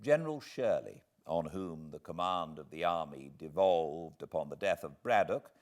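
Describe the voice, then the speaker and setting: A man with a British accent reading a classic book aloud as an expressive audiobook narrator. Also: deep voice, moderate pace. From Britain.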